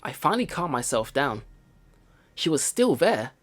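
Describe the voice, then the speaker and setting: A man's voice: fairly high pitch, sing-song, moderate pace, fairly bright tone. A man hosting a let's play, reading game text aloud expressively and adding casual commentary. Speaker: let's play video host. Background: game music.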